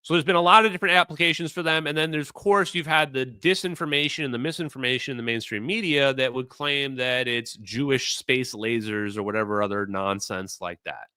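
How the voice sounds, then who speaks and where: Minnesota man, bright rambling stream talk. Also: medium pitch, fairly quick, hardly any pauses, clear, resonant tone, no room sound, clean studio sound. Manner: rambling, animated, fillers and self-repeats. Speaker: streamer host. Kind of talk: casual livestream talk.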